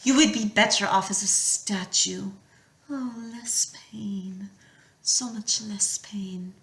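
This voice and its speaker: A woman's voice: sits high, big pitch swings, unhurried, voice pushed up, exaggerated voice. Woman doing a purring snake-haired monster. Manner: soft purring menace, whispery. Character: hissing snake-haired female monster, coaxing and vicious. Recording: echoey room.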